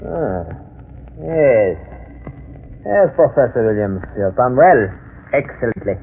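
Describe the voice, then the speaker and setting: Through a tinny, noisy old radio recording comes a male mad scientist. He is grandiose and menacing, savoring each deliberate phrase as a genius bent on revenge. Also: medium pitch, big pitch swings. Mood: smug, pleased. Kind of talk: old-time radio horror acting.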